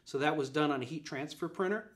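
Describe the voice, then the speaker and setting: A man's voice: fairly high pitch, fast. A man, giving an upbeat live product demo in an office room, with many fillers and repeated points. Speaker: product presenter. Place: office room with a display table.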